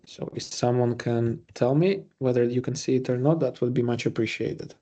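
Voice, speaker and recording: A man from Latvia with a Latvian accent, presenting a webinar in an informal way with frequent pauses. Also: medium pitch, steady tone, dark tone. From Riga, Latvia. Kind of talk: semi-scripted webinar presentation.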